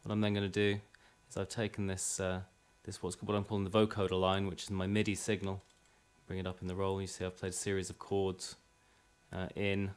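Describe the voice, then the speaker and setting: A man's voice: low-pitched, monotone, fairly quick, smooth. A man presenting an informal music production tutorial, explaining step by step in a slightly hesitant way. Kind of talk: informal software tutorial demonstration. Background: drum and music playback.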